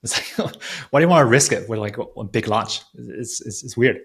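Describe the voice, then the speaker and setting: A man hosting a casual podcast interview, asking informal questions in a halting way with repeated words. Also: medium pitch, animated, gravelly.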